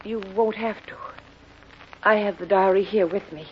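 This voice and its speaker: An actress playing an anxious, pleading client in an old radio detective drama. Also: female voice, medium pitch, steady tone, normal speed, slight background noise, lightly acted. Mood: anxious, secretive. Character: anxious, pleading female client with a stolen diary. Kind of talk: 1950s radio drama acting. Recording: dull, muffled old radio recording.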